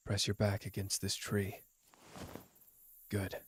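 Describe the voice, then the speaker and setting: A man's voice: fairly low pitch, monotone, slight background noise. A young man voicing a clever, protective young man in an ASMR roleplay, talking in a dry, teasing way close to the listener. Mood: urgent. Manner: dry, teasing, quick-witted, close and intimate.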